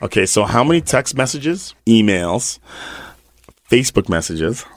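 Male podcast host, very low voice with lively swings, playful and teasing. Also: dry recording, studio quality. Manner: playful, teasing, quick to laugh. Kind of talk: casual interview chat.

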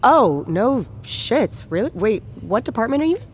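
An actress playing a sardonic, weary tech worker in an audio drama, with a dry, blunt, sarcastic delivery. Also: female voice, a little high, sing-song, normal speed, slight background noise. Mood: awkward, wry. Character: exasperated, sardonic, foul-mouthed female tech worker. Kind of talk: naturalistic audio drama acting.

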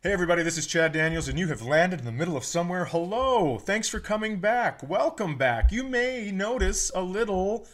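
A man's voice: sits high, animated, brisk, few pauses, faint music behind. An American man hosting a comedy podcast in a casual, joking, sometimes profane way.

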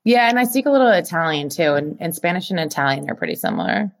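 A woman co-hosting a podcast, chatting casually and telling stories in an animated, informal way with plenty of filler "like" and some swearing. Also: low voice, quick pace, gravelly. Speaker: podcast co-host. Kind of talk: casual chat and storytelling.

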